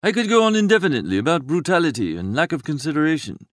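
A man's voice: on the high side, big pitch swings, fast, dry recording, studio quality. A man playing a flippant, languid young upper-class idler, narrating a comic audiobook in a wordy, breezy, droll way.

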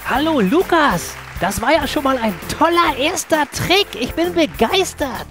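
A woman voicing a cheerful, curious mouse mascot who co-hosts a children's show with excited enthusiasm. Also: high-pitched, measured pace, over music. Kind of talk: scripted children's show presenting.

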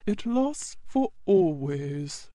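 A woman voicing a kindly, truthful old male toy horse in a storybook, explaining gently and patiently. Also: on the low side, big pitch swings, very slow, no room sound, studio quality, pitched below the performer's own voice, exaggerated voice. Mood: gentle, wise. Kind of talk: storybook audiobook character reading.

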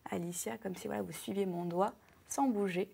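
A woman's voice: mid-range voice, brisk. Upbeat woman explaining on morning TV. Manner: clear, upbeat explainer, lists items with steady rhythm. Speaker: health and wellness columnist. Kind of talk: morning TV segment, explaining and demonstrating. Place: bright TV studio. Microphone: lapel mic.

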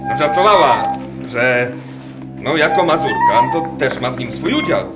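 An actor in a radio play voicing a condescending German camp officer who collects birds, halting and musing between commands. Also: male voice, fairly high pitch, very animated. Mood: probing, condescending. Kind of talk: radio drama acting. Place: wooden barn, live performance. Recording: roomy barn sound with music behind. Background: music.

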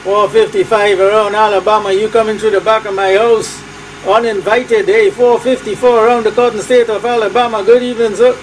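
A man's voice: sits high, flat delivery, fairly quick, background noise. A man with a Trinidadian accent making hearty, repetitive radio calls as an operator, in patter full of call signs. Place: small cluttered room at home.

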